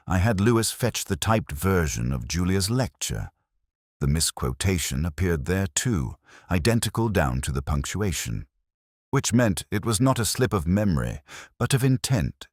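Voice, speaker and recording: Very deep, brooding detective played by a man. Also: dry recording, clean studio sound, exaggerated voice. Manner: measured, reflective, literary phrasing. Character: brooding, literary English police inspector, narrating his case. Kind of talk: first-person scripted detective narration.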